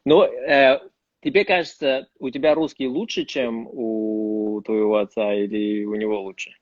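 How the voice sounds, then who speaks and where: Male host, Russian native, mid-pitch, restart-prone, on a dull video-call line. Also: fairly even intonation, no room sound. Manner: friendly, halting, frequent restarts and laughs. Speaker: host and English teacher. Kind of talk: casual online conversation.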